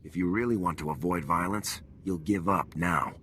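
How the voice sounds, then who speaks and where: Resolute sci-fi major: lowish, hard-edged, commanding, clipped game-cutscene delivery. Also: male voice, moderate pace, a bit bright, slight background noise. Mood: defiant. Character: stern, resolute male Republic military major, squad leader. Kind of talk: video game cutscene acting.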